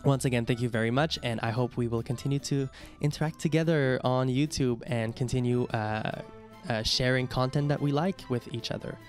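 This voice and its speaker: French-Canadian man with soft music behind. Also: mid-range voice, fairly even intonation, a little fast. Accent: Québécois French. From Quebec, Canada. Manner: friendly, hesitant with many fillers. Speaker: YouTube host. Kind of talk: casual ranking commentary.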